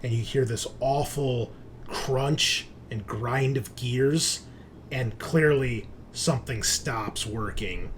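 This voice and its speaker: A man narrating a tabletop game as game master, describing scenes in a casual, unhurried way. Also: mid-range voice. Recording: faint background noise.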